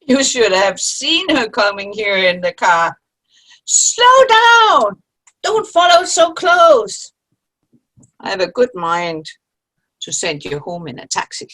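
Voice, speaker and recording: Lady as sharp tongued older friend, sarcastic digs, unhurried pace, very up n down pitch, play reading. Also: wildly swooping pitch, full character voice, low-quality recording. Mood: exasperated. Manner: dry, sarcastic, needling. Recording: video call play reading.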